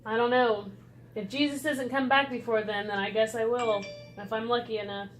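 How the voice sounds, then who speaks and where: A woman's voice: a little high, steady tone, normal speed, faint music behind, low-quality recording. A woman in her twenties with an American accent, chatting casually and teasingly, asking simple questions and repeating answers back. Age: 20s. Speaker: vlogger interviewing grandfather.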